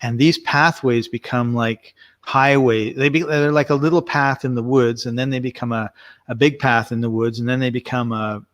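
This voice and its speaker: A man hosting a relaxed chat over a remote call, mixing in jokes and building ideas through step-by-step analogies. His voice sits at an ordinary middle pitch. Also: moderate pace. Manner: jokey, explains with long spoken analogies. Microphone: remote call mic.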